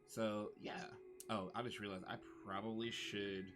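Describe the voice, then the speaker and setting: A man's voice: on the low side, level tone, moderate pace. A man chatting casually as he hosts a gaming stream, informal, friendly and slangy. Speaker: game livestreamer, host. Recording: faint game music behind. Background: game music.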